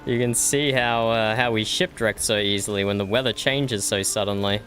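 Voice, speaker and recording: A man's voice: lowish voice, steady tone, moderate pace, fairly bright tone, soft background music, lightly acted. A man voicing a good-humoured companion in a role-play game who laughs often, stammers and says "aye".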